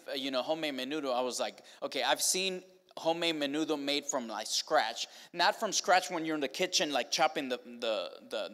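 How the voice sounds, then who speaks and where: A man's voice: on the high side, a bit bright. A middle-aged man from Mexico with a Mexican Spanish accent, preaching a casual, humorous sermon on a large stage into a handheld mic, full of fillers and restarts. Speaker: pastor. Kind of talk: casual sermon to college students. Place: large stage of a church or college auditorium. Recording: long hall reverb.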